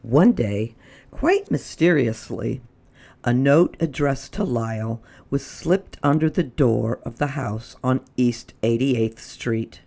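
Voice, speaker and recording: A storyteller reading a children's book aloud in an expressive, deliberate way. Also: mid-range voice, creaky. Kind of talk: children's read-aloud narration.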